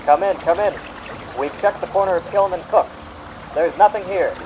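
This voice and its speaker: A man's voice: high-pitched, normal speed, voice pushed up. A man voicing a clipped, urgent police officer calling over a radio in a horror drama. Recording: faint watery noise behind.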